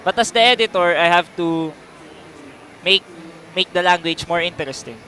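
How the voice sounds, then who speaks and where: A man's voice: high-pitched, normal speed, sharp tone, rough recording. A man from the Philippines, a game editor, answering in a hesitant way with many fillers.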